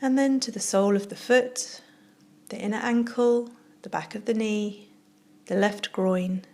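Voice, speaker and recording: Very slow, soft-spoken woman guiding relaxation. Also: medium pitch, fairly even intonation. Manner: soft, soothing, with long pauses between short phrases. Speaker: yoga teacher guiding relaxation. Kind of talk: guided meditation, yoga nidra narration.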